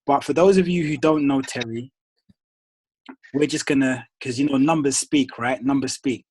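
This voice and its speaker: A man's voice: medium pitch, normal speed, a little mellow, husky. A man hosting a group call, reading names and firing up his team in a casual, motivational way. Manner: casual, calls people bro and dude.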